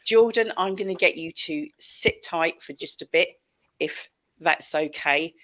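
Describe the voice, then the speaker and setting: A woman's voice: fairly low pitch, measured pace, a bit bright, rough recording. A middle-aged woman hosting a casual livestream from a headset, effusive and repeating phrases for emphasis. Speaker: show host. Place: small indoor room on video call.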